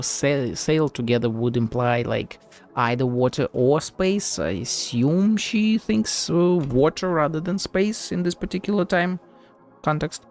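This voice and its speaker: A man's voice: mid-range voice, darkish tone. A man giving thinking-aloud commentary as a game streamer, hesitant and musing.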